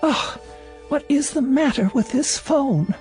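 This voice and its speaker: A woman's voice: sits high, expressive, slow, darkish tone, exaggerated voice. A woman playing a frail, lonely, fretful elderly woman in a radio drama, speaking in a hesitant, proper way. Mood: irritated, insistent. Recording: radio play with music underneath.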